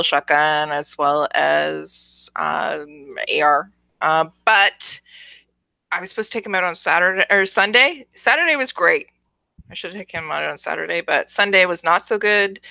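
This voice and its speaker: A woman speaks in a bright, forward voice that sits a little low. She is chatty and casual, telling stories as a podcast co-host, with a dry, close sound. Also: no echo.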